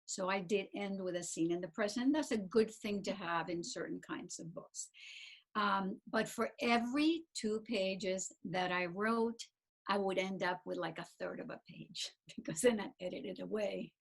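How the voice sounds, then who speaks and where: A woman's voice: mid-range voice, a little slow, gravelly, breathy edge. A woman author talking on a video call about her writing, hesitant, with many fillers and little laughs at herself. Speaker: panel guest author. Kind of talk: casual panel chat.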